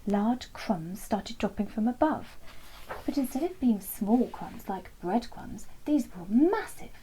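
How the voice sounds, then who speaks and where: A woman reading a children's bedtime story aloud in an expressive, deliberate storytelling manner, with a soft, breathy voice. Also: on the high side, poor audio. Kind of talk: scripted read-aloud story narration.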